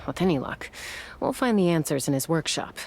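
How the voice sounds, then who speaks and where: A pragmatic, level-headed young adventurer from a video game. The voice sits a little low for a woman and stays measured and matter-of-fact. There is faint game sound behind it. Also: lively intonation, a little hiss or hum. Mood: determined.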